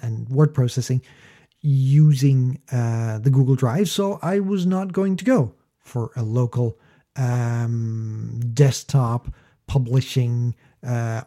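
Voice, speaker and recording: A man's voice: medium pitch, mellow. A man hosting a casual explainer monologue about his own experience, conversational and emphatic with wry asides. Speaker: host, small business owner.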